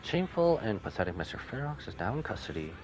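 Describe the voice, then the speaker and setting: A man's voice: on the low side, sing-song, fast talker, noisy background. A man voicing a shrewd, polite corporate trader from a game, formal and businesslike.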